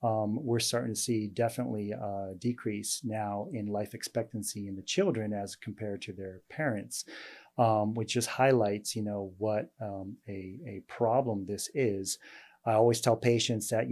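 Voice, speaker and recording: A male doctor hosting an interview, talking in a halting way with many fillers and restarts. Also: on the low side, a little mellow. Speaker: host, weight loss doctor. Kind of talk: casual interview chat.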